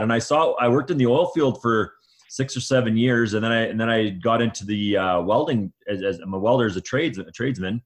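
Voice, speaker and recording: Middle-aged male guest, lowish pitch, casual interview answers, colloquial, halting phrasing. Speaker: guest, men's coach.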